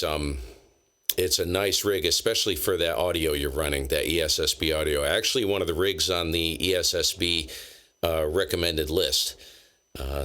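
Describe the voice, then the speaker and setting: Deep bright-toned ham radio host. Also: male voice. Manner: chatty, quick, loose and friendly. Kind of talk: casual radio chat.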